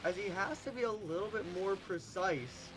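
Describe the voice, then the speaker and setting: A man announcing a monster truck show in the style of an arena announcer, stretching out his hype calls, and he is losing his voice. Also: noisy background. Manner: booming, drawn-out hype calls. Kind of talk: hyped arena announcer commentary.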